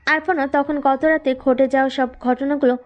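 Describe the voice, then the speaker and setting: Casting: female narrator, high voice, scripted third-person romance storytelling. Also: fairly even intonation, moderate pace, muffled recording.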